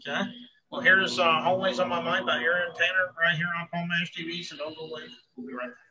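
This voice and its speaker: Bright-toned male show host. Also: on the high side, quick pace. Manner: friendly, steady, plugs the guest. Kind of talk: interview hosting. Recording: slightly dull lo-fi sound.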